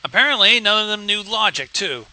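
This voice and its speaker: A man's voice: high voice, lively intonation, fairly quick, sharp tone, rough recording. A man co-hosting with casual sarcastic commentary, blunt and profane. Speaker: co-host heckler.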